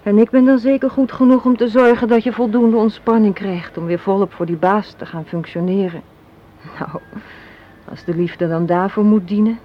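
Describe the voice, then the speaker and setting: A woman's voice: medium pitch, fairly even intonation, moderate pace, close to an everyday voice. An actress in a radio play playing an assertive, cynical young woman, arguing in an articulate, sardonic way. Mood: bitter, lecturing. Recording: muffled, hissy old radio play recording.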